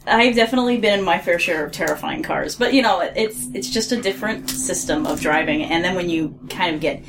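Woman kinda rambling about her story, lowish voice, laughs a lot, bit of hiss behind. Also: fairly quick, creaky. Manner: rambling, self-correcting, laughs often. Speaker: co-creator and writer of an audio drama. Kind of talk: casual podcast chat.

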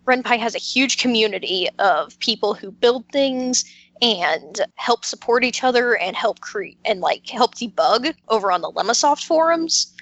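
A woman's voice: fairly high pitch, lively intonation, moderate pace, a bit bright, lo-fi sound. A woman chatting casually as a podcast panelist, explaining things informally and often interrupting herself. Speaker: podcast roundtable panelist, visual novel maker. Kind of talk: casual chat.

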